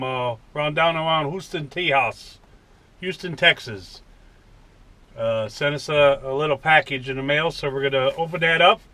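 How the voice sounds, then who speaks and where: Slow-talking American man, bright voice. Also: a little high. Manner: folksy, unhurried. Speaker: vlogger. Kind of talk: casual unboxing vlog. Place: inside a parked truck cab.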